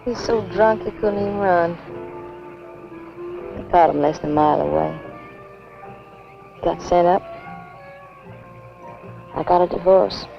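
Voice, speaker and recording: A woman's voice: lowish voice, steady tone, measured pace, vocal fry, pauses often. A woman playing a world-weary, flirtatious backwoods wife with an American accent, in 1950s B-movie style. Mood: weary, confiding. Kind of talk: 1950s B-movie film acting. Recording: muffled old film soundtrack with music.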